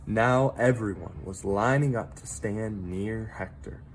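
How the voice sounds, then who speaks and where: A man reads a children's story aloud in an expressive, dramatic storyteller manner, pausing for effect. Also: on the low side, a little hiss or hum, rough recording. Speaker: teacher reading a picture book aloud.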